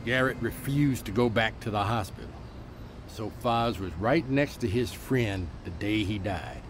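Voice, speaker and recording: A man's voice: lowish voice, unhurried, background noise, natural voice. An actor playing an earnest man who lives among the homeless and tells a story in a radio drama. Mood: solemn storytelling. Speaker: storyteller and street preacher.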